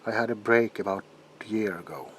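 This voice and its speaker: A man playing a troubled, desperate doctor in an ASMR roleplay monologue, speaking haltingly in a hushed voice that often drops toward a whisper. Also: lowish voice, lively intonation, low-quality recording. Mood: desperate, confessional. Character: troubled doctor protesting innocence, desperate and haunted. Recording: audible background noise.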